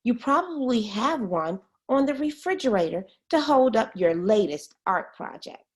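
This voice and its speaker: A woman reading a children's science book aloud as a teacher, expressively and pausing to explain words. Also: a little high, very animated.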